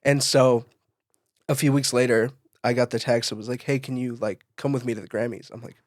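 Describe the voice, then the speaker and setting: A man chats casually as a podcast co-host, with a mid-pitched voice. He tells stories informally, slipping into quoted conversation, and the sound is close and dry. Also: fairly even intonation, a little fast, no echo. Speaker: podcast co-host, event photographer. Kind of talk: casual podcast chat, storytelling.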